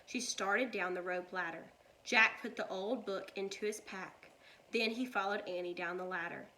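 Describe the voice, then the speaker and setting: A woman reading a children's story aloud like a teacher, with deliberate, expressive delivery. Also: mid-range voice, low-quality recording.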